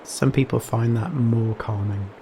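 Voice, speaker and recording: Slow-paced man guiding meditation over rain. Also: medium pitch. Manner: gentle, soothing, with long pauses between phrases. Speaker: meditation guide. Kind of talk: guided Yoga Nidra meditation. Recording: steady rain noise behind voice. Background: rain sounds.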